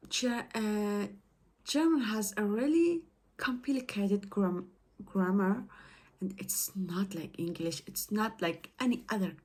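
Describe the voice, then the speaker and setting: Slow, hesitant woman with a Persian accent. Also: mid-range voice, a little mellow. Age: young adult. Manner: hesitant, halting, many fillers and self-corrections. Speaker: study vlogger. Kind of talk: casual talk to camera.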